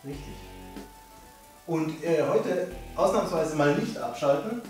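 A man's voice: a little high, lively intonation, slow pace, a little mellow, very echoey, distant mic, faint music behind, poor audio. A young man hosting a comedy channel in a jokey, playful way, sitting on a living-room couch.

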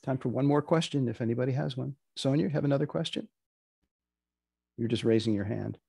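A man's voice: mid-range voice, brisk, gravelly, warm tone, breathy edge, dry recording, clean studio sound. A man hosting and moderating a session of readings on a video call. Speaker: host of dramatic readings session. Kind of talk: moderating a panel. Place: indoor room on a group video call.

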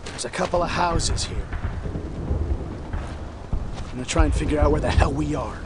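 An actor playing a gruff, urgent American paratrooper sergeant in a war game, terse and profane, with loud battle noise behind him. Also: male voice, on the high side, normal speed, lightly acted. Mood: tense, low. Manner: terse, barking orders, profane. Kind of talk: video game voice acting.

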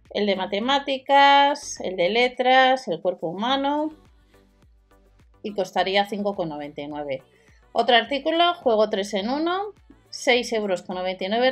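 A woman giving a matter-of-fact voice-over of store deals, listing prices and sizes. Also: a little high. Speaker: deal-video host. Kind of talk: voice-over product walkthrough.

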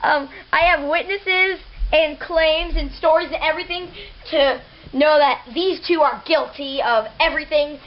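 A woman's voice: sits high, a little slow. A young woman narrating and playing prosecutor in a home plush toy puppet show, playful and a little giggly. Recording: dull lo-fi home camera audio.

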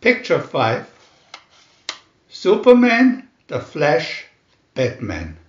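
A man reading scripted children's narration like an announcer, with a deliberate, dramatic delivery. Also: a little high, wildly swooping pitch. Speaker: booklet reader and channel host. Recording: roomy echo, dull lo-fi sound.

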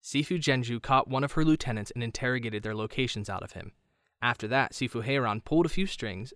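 A man playing a confident, wry teenage boy hero in an animated drama, speaking with an assured manner. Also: medium pitch, dry recording, studio quality, close to an everyday voice.

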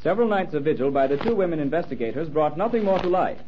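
Male actor as solemn narrator, 1930s radio drama, fairly high male voice, dull sound. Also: animated, quick pace, slight background noise, natural voice.

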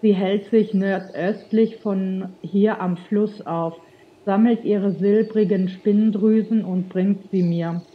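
A woman with a slight cold reading out the lines of a solemn elven temple priestess from a game quest, slowly and in her own voice. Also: mid-range voice, fairly even intonation, dull audio, natural voice.

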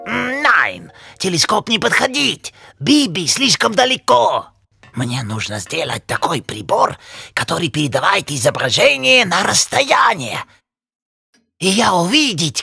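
A doting cartoon penguin father with a German accent. The voice is husky and bright, swinging widely in pitch. He is sentimental and emotional, sighing as he stumbles through broken grammar. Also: wildly swooping pitch, slow, dry recording, exaggerated voice. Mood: anxious, determined. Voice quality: husky, gravelly. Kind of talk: animated cartoon dialogue.

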